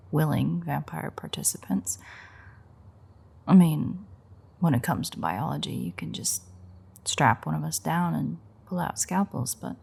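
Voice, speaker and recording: A woman playing a teasing, sardonic vampire in an intimate audio roleplay, with a wry, foul-mouthed manner and a breathy, husky voice. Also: low-pitched, a little mellow, heavily acted.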